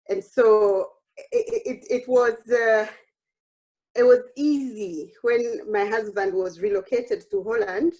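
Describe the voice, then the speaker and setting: A woman's voice: medium pitch, rough recording. A woman, telling her personal story at an online workshop in an earnest way with frequent restarts. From Uganda. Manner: warm, earnest, with frequent restarts and self-quoting. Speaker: workshop speaker.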